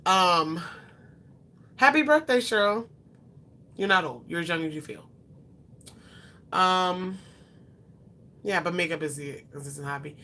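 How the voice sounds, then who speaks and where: A young woman in her twenties chats with her viewers, answering their questions off the cuff. Her voice sits low yet sounds bright and forward. She rambles a little, filling gaps with ums and correcting herself as she goes. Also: a little slow, long pauses. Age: 20s. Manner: chatty, rambling, with frequent ums and self-corrections. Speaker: beauty vlogger.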